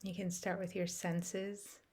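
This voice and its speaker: A woman leading a guided meditation in a soft, soothing way, with measured phrasing and long pauses. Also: on the low side, level tone, husky.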